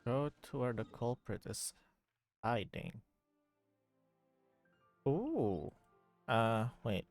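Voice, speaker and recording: A man's voice: mid-range voice, big pitch swings, very slow, soft background music. A man streaming a video game, giving casual commentary and thinking aloud as he plays.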